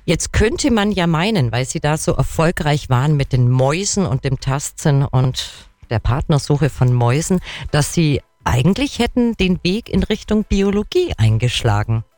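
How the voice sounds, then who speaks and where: A woman's voice: deep voice, lively intonation, normal speed, darkish tone, no room sound. A woman from Kempten in the Allgäu in Germany, chatting casually as the host of a radio interview. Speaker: radio talk show host. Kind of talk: casual, friendly interview chat.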